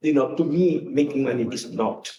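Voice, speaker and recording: A man in the audience asking a hesitant, halting question at a live talk. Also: mid-range voice, mellow, highs cut off. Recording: echoey hall, distant mic, faint noise.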